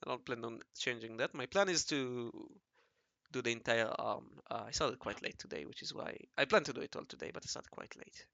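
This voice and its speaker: A man with a raspy voice talking casually to himself while he draws, mumbling and correcting himself as he goes. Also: mid-range voice, a little fast, a bit bright, no echo, dull audio. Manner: mumbling and self-correcting, often murmuring half to himself. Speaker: hobby artist narrating his drawing session. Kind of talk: casual think-aloud commentary while drawing.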